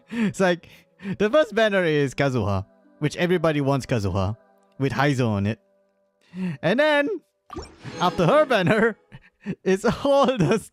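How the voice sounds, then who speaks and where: A male live streamer with a somewhat high voice talks casually over gameplay, with music playing behind him. He rambles, often repeating words and phrases, and tends to chuckle between remarks. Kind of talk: casual gameplay livestream commentary.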